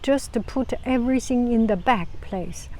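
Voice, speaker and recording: A woman with a Chinese accent and a low, soft voice giving calm, casual advice to camera, often restarting phrases and sometimes dropping to a whisper. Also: slight background noise. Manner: calm, unhurried, many restarts. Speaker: vlogger.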